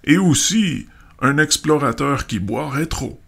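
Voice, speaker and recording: A pompous old geographer from a storybook, speaks very slowly with a gravelly creak. He weighs out each phrase with pedantic self-importance. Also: fairly high pitch, animated, fairly bright tone, heavily acted. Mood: pedantic, self-important. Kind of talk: audiobook story dramatization.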